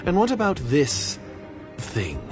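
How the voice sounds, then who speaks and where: An actor playing a suspicious, coldly calculating secret agent in a video game, speaking in a guarded, disdainful manner. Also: male voice, fairly high pitch, wildly swooping pitch, slow, heavily acted. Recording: game audio with music underneath.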